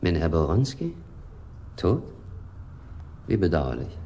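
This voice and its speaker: Deep-voiced, fussily precise hotel manager. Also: male voice, wildly swooping pitch, takes it slowly, mellow, slight background noise, exaggerated voice. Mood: polite, regretful. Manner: courteous, precise, disdainful storyteller. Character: polite, precise, exasperated male hotel manager. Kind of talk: German radio crime drama acting.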